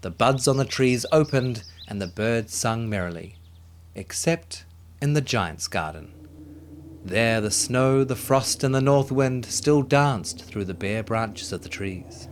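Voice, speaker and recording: A man narrating a children's story in an expressive, dramatic way, with music behind him. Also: medium pitch, unhurried, slight background noise.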